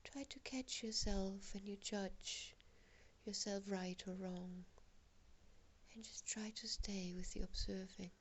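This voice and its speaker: A woman guiding a relaxation meditation in a soft, breathy, half-whispered voice, giving gentle, hushed instructions with long pauses. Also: mid-range voice, fairly even intonation, a little hiss or hum, poor audio.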